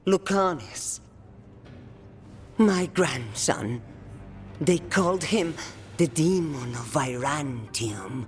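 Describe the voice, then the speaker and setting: Casting: an aged, proud crime matriarch in a fantasy game, deliberate and weighty pacing, light music underneath. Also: female voice, low voice, animated, exaggerated voice. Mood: grave, proud. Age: older. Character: old grandmother, commanding head of assassin guild. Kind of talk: video game voice acting.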